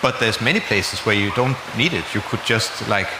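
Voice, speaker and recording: A man's voice: lowish voice, lively intonation, moderate pace. A man answering questions at a conference, speaking hesitantly with many fillers. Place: conference room. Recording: echoey hall with room noise.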